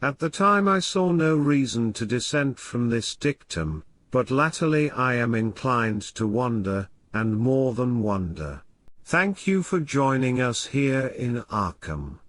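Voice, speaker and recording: A grave male professor, played by a man, narrates a weird tale as an audiobook. His middle-pitched voice is formal and measured. He delivers the literary prose like scripted scholarly testimony. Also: big pitch swings, fairly bright tone. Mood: formal, courteous. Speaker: narrator and host.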